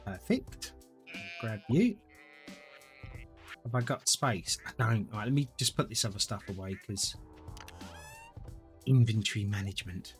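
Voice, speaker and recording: Casting: male streamer, rambling, lively voice that rises and falls, faint music behind. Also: lowish voice, measured pace, long pauses. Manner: rambling, thinks aloud, chats to viewers. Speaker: gaming streamer. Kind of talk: casual live-stream gameplay commentary.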